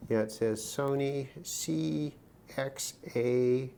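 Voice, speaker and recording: A man giving casual, unscripted commentary on a hands-on repair, halting and musing to himself as he works. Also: medium pitch, mellow. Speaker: host of a hobby radio repair video. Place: at a desk, indoors. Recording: clear background noise, handling clicks.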